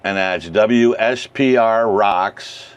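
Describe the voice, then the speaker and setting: A man giving a casual how-to talk as a hobbyist presenter, speaking in short phrases with frequent pauses. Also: fairly low pitch, clean tone. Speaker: amateur radio hobbyist presenting a how-to video. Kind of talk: casual explanatory vlog talk.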